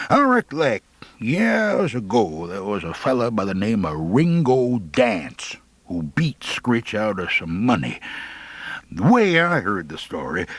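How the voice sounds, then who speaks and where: A man performs a crusty old backwoods fellow in a comic audiobook, spinning yarns in a rural American drawl. His folksy delivery swings widely in pitch, and the sound is close and dry. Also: fairly bright tone, dry recording, exaggerated voice.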